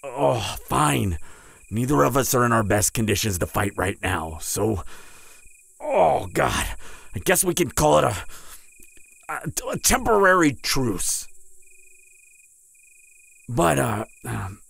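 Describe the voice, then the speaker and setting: A man performs a proud male vampire hunter in an intimate audio roleplay, hurt and stammering through the pain. His voice is raspy and creaky, recorded close and dry. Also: medium pitch, big pitch swings, a bit bright, no room sound, full character voice. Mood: grudging, wary. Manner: stammering, halting, breathy sighs and groans. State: in pain, strained.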